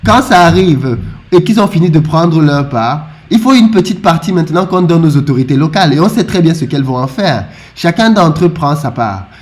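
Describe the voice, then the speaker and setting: Animated man with stammered restarts. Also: a little high, brisk. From Africa. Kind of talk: casual discussion.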